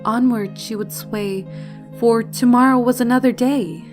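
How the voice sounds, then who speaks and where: A woman's voice: a little high, steady tone, measured pace, a little mellow, faint music behind, close to an everyday voice. A woman voicing a frightened, tearful little pony filly, telling her horror story in a solemn, dramatic, emotive way. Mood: mournful. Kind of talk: first-person dramatic fanfiction horror narration. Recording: clear noise and sound effects behind. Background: storm and animal sound effects, noise.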